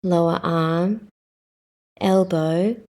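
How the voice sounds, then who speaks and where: Woman leading yoga nidra, really slow and calm, lowish voice, short measured phrases. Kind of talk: guided meditation.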